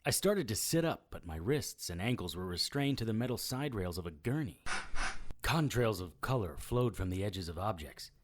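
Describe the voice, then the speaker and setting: An actor playing a wry, defiant hard-boiled supernatural investigator in an audio drama, with sardonic retorts and noir delivery. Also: male voice, fairly low pitch, animated, moderate pace, background noise, natural voice. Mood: grim narration. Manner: sardonic, noir-style first-person narration and retorts.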